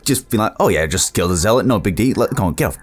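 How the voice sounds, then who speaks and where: A man's voice: fairly low pitch, lively intonation, fast. A man chatting casually and informally, a voice actor speaking as a guest, with a modest manner. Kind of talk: casual chat.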